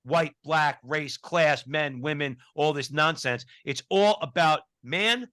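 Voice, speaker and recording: A man's voice: on the high side, takes it slowly, pushed and bright, dry recording, clean studio sound. A male co-host of a talk show, speaking emphatically and often repeating words as he starts a point. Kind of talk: casual talk show discussion.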